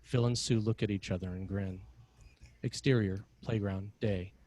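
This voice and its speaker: A man reading scripted narration and stage directions as a screenplay narrator, in a measured, dramatic way. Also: lowish voice, steady tone, mellow.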